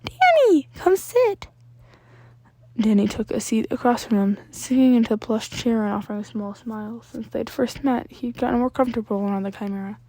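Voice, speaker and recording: A woman's voice: fairly high pitch, fairly even intonation, quick pace, hardly any pauses, dark tone. A woman reading scripted fiction aloud as an amateur story narrator.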